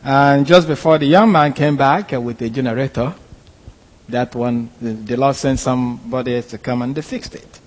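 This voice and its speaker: A man's voice: medium pitch, moderate pace, in a large hall. A man from Nigeria with a Nigerian accent, a pastor telling a story in a sermon, hesitant, with many fillers and restarts. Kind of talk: sermon testimony.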